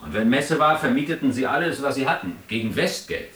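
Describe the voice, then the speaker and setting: A man's voice: medium pitch, animated, a little fast, fairly bright tone, echoey room, close to an everyday voice. A man acting a wry, bookish East German drinker in a radio play, storytelling with mock-learned irony. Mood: dreamy wry. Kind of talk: radio play narration and dialogue.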